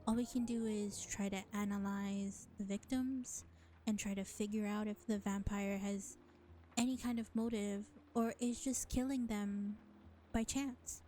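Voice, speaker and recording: A woman playing a world-weary, powerful vampire in a scripted audio roleplay, deliberate and condescending, speaking to the listener as a reluctant ally. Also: mid-range voice, steady tone, warm tone, slight background noise, natural voice. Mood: resigned, dry. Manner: deliberate, exasperated, sighing, condescending.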